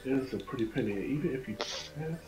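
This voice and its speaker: A man in a casual voice chat, speaking haltingly and repeating phrases for emphasis. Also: mid-range voice, rough recording. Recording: music clearly behind voice.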